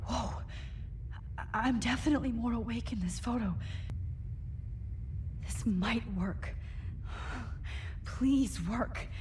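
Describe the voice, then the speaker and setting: A woman playing a frightened but determined kidnapped teenage student in a video game, speaking with hushed, earnest urgency. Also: a little high, takes it slowly, pauses often. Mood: hopeful, nervous. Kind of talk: naturalistic video game voice acting. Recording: echoing reverberant space, background noise.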